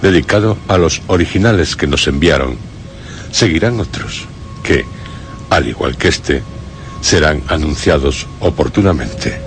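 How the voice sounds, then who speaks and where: A man presenting a scripted radio drama programme, solemn and theatrical with deliberate pauses. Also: very deep voice, lively intonation. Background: prominent music.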